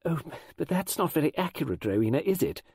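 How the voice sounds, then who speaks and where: A man's voice: a little high, very animated, fast, creaky, darkish tone, slightly rough, no echo, studio quality, pitched above the performer's own voice, full character voice. A man voicing a prim, pedantic, disapproving schoolmistress in a storybook.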